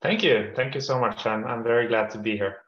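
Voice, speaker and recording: A man from northern Norway, a startup CEO, answering interview questions in a measured way with frequent restarts over a video call. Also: medium pitch, fairly bright tone, roomy sound, dull audio. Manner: measured, with frequent repeats and fillers.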